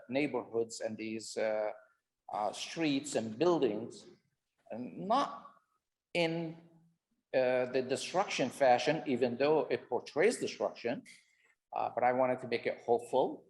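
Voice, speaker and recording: Male panel moderator and artist, unhurried, mid-pitched, hesitant. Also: a little mellow, low-quality recording. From Syria. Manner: measured, hesitant, with filler sounds. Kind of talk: speaking at an art panel.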